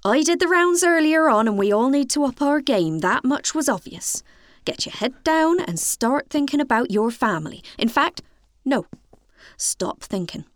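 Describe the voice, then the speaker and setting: An actress playing a bossy, incurious, dutiful woman in a comic audio drama, brisk and scolding. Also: high voice, lively intonation, dry recording, studio quality. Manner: brisk, scolding, matter-of-fact.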